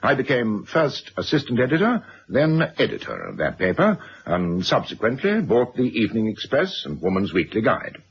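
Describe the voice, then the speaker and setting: A man's voice: mid-range voice, huge pitch swings, moderate pace, hardly any pauses. A middle-aged man playing a brusque, self-made press magnate and stern father in a radio drama, curt and pompous. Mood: self-satisfied. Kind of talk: 1970s radio drama acting. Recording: dull, noisy vintage radio recording.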